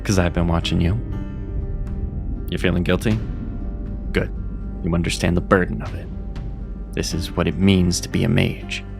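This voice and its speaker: A man playing a gentle, earnest mage mentor in a fantasy audio drama, speaking in a measured, reassuring way. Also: low voice, flat delivery, vocal fry. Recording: music and rain behind the voice. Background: music, rain.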